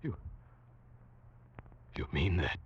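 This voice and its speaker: A man's voice: drawn-out words, slight background noise. An actor in a 1940s radio suspense drama playing a tense, brooding hunted man who tells his own ordeal. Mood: tense, defiant. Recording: dull restored 1940s radio with music.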